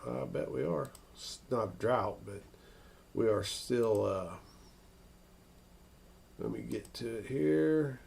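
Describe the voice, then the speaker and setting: An American man with a medium-pitched voice and plenty of fry in it. He talks very slowly and haltingly, thinking aloud as he hosts a casual live weather stream and takes questions from viewers. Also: darkish tone. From northern United States. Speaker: weather livestream host.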